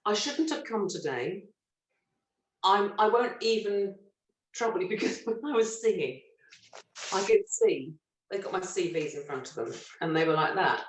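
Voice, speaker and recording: A middle-aged woman from Britain, a stage actress telling lively, self-mocking stories in an interview and laughing often. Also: on the low side, moderate pace. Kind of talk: relaxed anecdotal interview chat. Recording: roomy echo.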